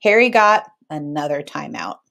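A woman in her thirties reading a picture book aloud to children in an expressive, teacherly way. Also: sits low, moderate pace, creaky. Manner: teacherly, checks in with listeners.

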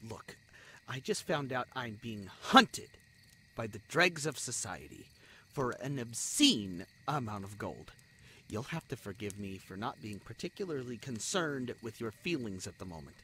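A man plays a young spider prince living as an adventurer in a fantasy audio drama. He is animated and bright, snarky yet anxious, sighing and stumbling over his words. Faint noise and night crickets sit behind him. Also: mid-range voice. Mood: defensive. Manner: flustered, halting, sighing. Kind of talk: amateur fantasy audio roleplay. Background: crickets, night forest ambience.

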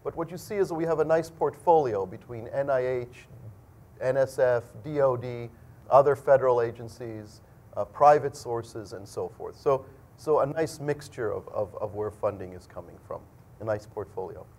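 A man's voice: mid-range voice, moderate pace, a little mellow. Middle-aged man presenting to students. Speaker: engineering school dean. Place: indoor lecture room at a podium.

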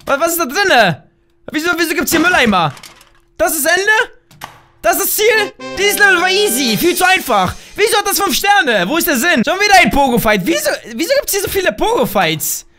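A hoarse young man in his twenties, loudly and playfully commentating a game from a small room at home, talking into a desk mic while wearing a headset. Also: extremely high pitch, sing-song, moderate pace, soft background music. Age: young adult. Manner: loud, shouty, laughing, playful exclamations. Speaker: gaming YouTuber. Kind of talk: excited gameplay commentary.

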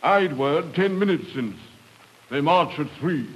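An actor playing a pompous, boastful, excitable gentleman with an English accent in a 1950s radio drama. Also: a little high, measured pace, breathy edge, poor audio. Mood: urgent. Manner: pompous, blustering.

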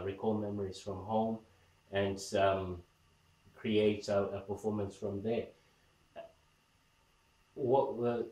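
A man in his thirties from Zimbabwe, a performance artist who speaks to the camera about his work in a calm, reflective way, with many fillers and restarts. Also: lowish voice. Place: indoor room with paintings on the wall. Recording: roomy, somewhat distant sound with faint music.

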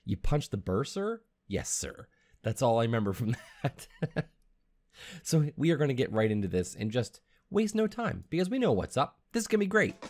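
Animated man chatting to viewers. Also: medium pitch, normal speed. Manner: animated, upbeat. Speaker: reaction video host. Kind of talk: casual chat to viewers. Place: small home studio room.

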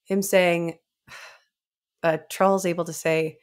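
A young woman co-hosting a casual book discussion, expressive and informal, with halting phrasing. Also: lowish voice. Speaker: podcast co-host. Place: indoor room. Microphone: close desk microphone.

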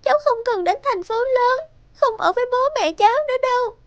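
A woman playing a sensitive, aggrieved sixteen-year-old schoolgirl in an animated drama, with a plaintive, youthful, emotional delivery. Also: very high-pitched, fairly quick, no echo, lo-fi sound. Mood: pleading, sulky.

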